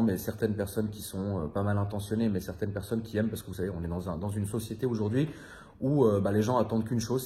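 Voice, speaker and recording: Fast-talking deep-voiced man in his thirties. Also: warm tone. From France. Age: 30s. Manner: rambling, loose and confiding, many fillers and repeats; slangy, swears casually. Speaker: vlogger. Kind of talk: casual monologue to camera.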